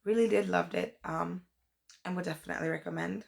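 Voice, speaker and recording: Young woman, hoarse from a cold, gravelly low-ish voice, rambling casual book vlogger. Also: steady tone, brisk. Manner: chatty, rambling, says "like" and "um" often. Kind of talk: casual book review vlog.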